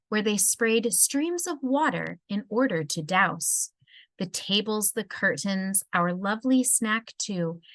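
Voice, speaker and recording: A woman reading a rhyming children's story aloud in an expressive storybook style. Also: medium pitch, no echo, low-quality recording. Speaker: storybook reader.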